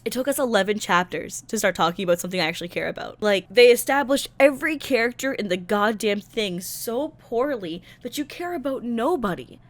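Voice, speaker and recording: A woman's voice: fairly high pitch, lively intonation, fairly quick, hardly any pauses, no room sound. A woman giving casual, ranting commentary in a sarcastic, exasperated and blunt manner. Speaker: video creator reviewing a game's visual novel.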